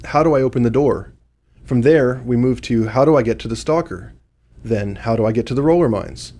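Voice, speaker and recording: A man's voice: medium pitch, mellow. A man who works as a game developer, giving scripted commentary.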